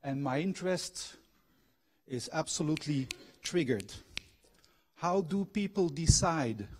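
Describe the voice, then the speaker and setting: A man's voice: a little high, animated, slow pace, darkish tone. A middle-aged man giving a public lecture with personal anecdotes, engaging his audience with questions. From Belgian, grew up abroad. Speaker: guest lecturer, former factory manager and trained coach. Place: university lecture hall.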